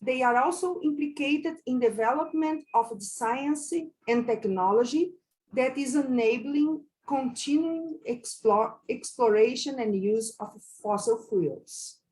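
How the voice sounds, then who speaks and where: A woman from Brazil with a Brazilian Portuguese accent, whose first language is Portuguese, giving a conference lecture in English, slow and careful with many hesitations and repeated words. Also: fairly high pitch, darkish tone, low-quality recording. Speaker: university lecturer.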